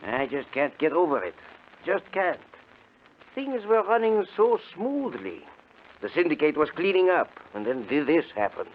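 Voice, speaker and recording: A man's voice: a little high, sing-song, normal speed. An actor playing a taunting, half-crazed male racketeer in a 1950s radio drama, with a mocking, jeering manner. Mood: glum, baffled. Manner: mocking, jeering, laughter-prone. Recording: noisy muffled old radio recording.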